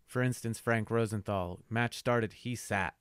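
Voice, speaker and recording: A man co-hosting a podcast in a lowish, smooth voice. He swears casually and trades teasing remarks. The sound is dry and close to the mic. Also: steady tone, measured pace, dry recording. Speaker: podcast co-host.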